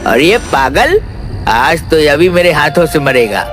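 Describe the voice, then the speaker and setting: A man voicing a scheming, bullying fat village merchant villain in an animated moral story, menacing and insulting. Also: on the high side, a little slow. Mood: threatening. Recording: music behind the voice.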